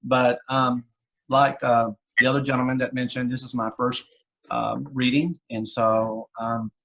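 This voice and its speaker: A man's voice: medium pitch, little pitch movement, a little slow, gravelly, sharp tone, rough recording. A middle-aged man introducing himself casually and a little hesitantly as an amateur actor on a video call. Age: late 30s to 40s. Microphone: video call microphone.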